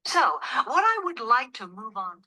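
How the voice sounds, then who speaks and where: A woman delivering a scripted academic lecture in a measured, formal, explanatory manner. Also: on the high side, big pitch swings, pushed and bright. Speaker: lecturer in a listening test.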